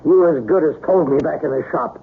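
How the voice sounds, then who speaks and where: A man's voice: on the high side, a little fast, close to an everyday voice. An actor playing a grim, stubborn working-class man bent on revenge in a 1970s radio drama, plain-spoken and menacing. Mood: menacing, resolute. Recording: tinny, noisy 1970s radio broadcast.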